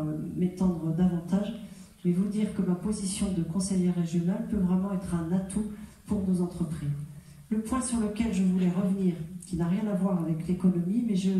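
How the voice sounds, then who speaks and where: A woman's voice: fairly low pitch, warm tone. A woman speaking as a local politician at a public meeting, explaining things in a semi-improvised campaign speech and often correcting herself. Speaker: local politician and mayoral candidate. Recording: very echoey room, distant muffled mic.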